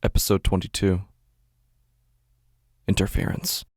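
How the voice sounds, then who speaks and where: Deep, flat male announcer. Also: a little slow, breathy edge, dry recording, studio quality. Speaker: podcast announcer. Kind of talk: scripted episode title announcement.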